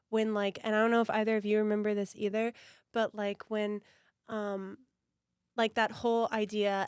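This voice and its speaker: A woman's voice: fairly high pitch, clear, resonant tone, dry recording, studio quality. Rambling young woman podcast guest. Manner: rambling, heavy "like" filler, laughs often. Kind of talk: casual podcast chat.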